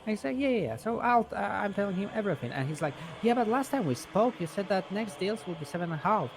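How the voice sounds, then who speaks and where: A man's voice: high voice, expressive, fast talker, creaky, background noise. A man chatting casually and improvising in an online roleplay game, rambling and hesitant, with frequent swearing.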